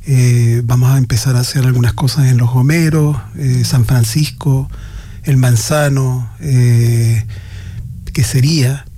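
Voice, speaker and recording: A man's voice: mid-range voice, fairly even intonation, unhurried, mellow, a little hiss or hum. A Chilean man speaking as a guest agronomist on a radio interview, hesitant and full of fillers. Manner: hesitant, with many "eh" and "digamos" fillers and restarts.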